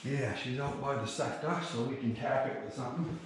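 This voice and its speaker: A man's voice: mid-range voice, fairly quick. An older man narrating a tractor engine repair in a large workshop, thinking aloud in a plain-spoken way as he works, often some way from the camera mic. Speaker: farm vlogger. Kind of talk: casual how-to narration. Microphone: camera mic, sometimes across the shop. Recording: noisy shop recording.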